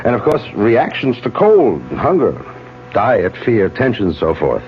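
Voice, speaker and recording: An actor playing an earnest, courteous middle-aged surgeon in a 1970s radio drama, explaining things in a measured way. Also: male voice, fairly low pitch, huge pitch swings, a little hiss or hum. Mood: earnest, explanatory. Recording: muffled 1970s AM radio broadcast.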